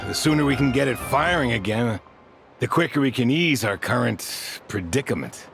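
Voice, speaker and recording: A serious male sergeant major from a video game, acted by a man, gravelly and mid-pitched. He sounds formal and measured, burdened by duty, over faint music. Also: lively intonation, lightly acted. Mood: grave, courteous. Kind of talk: video game character dialogue.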